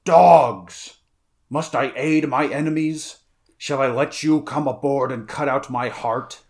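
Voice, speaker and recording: A man's voice: mid-range voice, a little slow, lightly acted. A man voicing a grim, stern barbarian swordsman and pirate captain in an adventure story. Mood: stern, threatening. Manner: stern, challenging.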